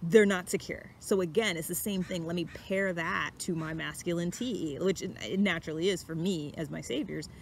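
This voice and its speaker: A woman's voice: fairly low pitch, normal speed, few pauses. Young woman doing a casual vlog outside in the woods, thoughtful and chatty, some background noise. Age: young adult. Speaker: vlog host. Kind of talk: casual talk to camera about personality types. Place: outdoors in woods. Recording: clear steady outdoor background noise.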